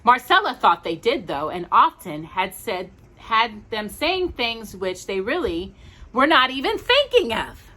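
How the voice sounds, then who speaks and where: A woman's voice: a little high, sing-song, a little slow, sharp tone. A woman hosting a storytime, reading a children's story aloud expressively with cooing asides to young listeners. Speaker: storytime host.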